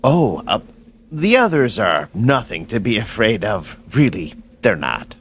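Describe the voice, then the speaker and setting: A man's voice: lowish voice, sing-song, unhurried, slight background noise. A man acting in a radio drama as a genteel, fussy, unflappable caretaker with courteous, old-fashioned manners. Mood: genial.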